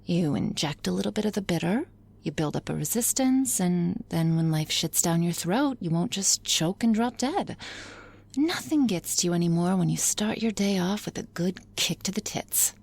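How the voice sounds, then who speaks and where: A woman acts out a teasing, cynical female boss in an intimate ASMR roleplay. Her voice is fairly low and husky, with a crackle of fry. She speaks playfully and flirtatiously, often dropping to a breathy whisper. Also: animated, moderate pace, darkish tone, exaggerated voice. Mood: sardonic.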